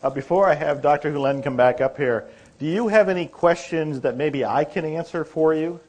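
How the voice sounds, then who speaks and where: A man's voice: fairly high pitch, moderate pace, darkish tone. A man co-presenting a seminar, taking questions informally in a courteous, anecdotal manner. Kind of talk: informal question-and-answer talk. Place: seminar room.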